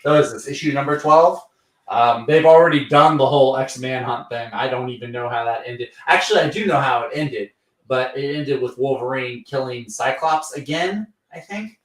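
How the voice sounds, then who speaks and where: A man's voice: mid-range voice, normal speed, recorded from a distance. A man from Louisiana chatting through a comic recap on a live stream. He rambles, piles on fillers and slips into little quoted voices. His voice sounds roomy and sits a bit back from the mic. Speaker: comic book show host. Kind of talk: casual livestream review chat.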